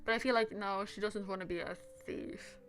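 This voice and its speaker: A woman playing a game on a livestream, chatting casually and in an animated, giggly way. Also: mid-range voice, fairly quick. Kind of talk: casual playthrough chat, reading game dialogue aloud. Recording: music playing behind the voice. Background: music.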